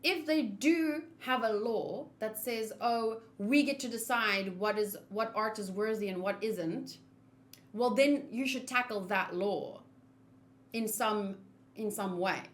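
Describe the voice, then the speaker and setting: A woman's voice: mid-range voice, slow. A woman giving casual live game commentary, explaining her opinions in a chatty way as a streamer.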